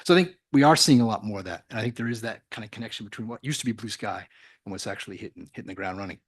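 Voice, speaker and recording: A man speaking quickly on a panel, his voice husky. He stresses his points and stumbles over repeated words as he gets going. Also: on the low side. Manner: fast, emphatic, repeats words when starting. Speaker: panelist at an industry forum. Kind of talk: conversational panel discussion.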